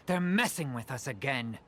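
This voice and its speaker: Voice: medium pitch, animated, unhurried, fairly bright tone, faint music behind. An actor playing a feisty, loyal, defiant townsperson in a scripted game, with punchy delivery. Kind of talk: scripted English game voice acting.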